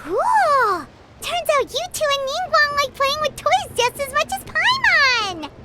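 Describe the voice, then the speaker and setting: A woman voicing a tiny, childlike, cheeky female fairy companion in a video game, squeaky and excitable. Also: a little slow, heavily acted. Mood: excited, cheeky. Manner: squeaky, excitable, refers to self by name. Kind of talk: scripted video game cartoon-like acting. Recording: loud music behind the voice.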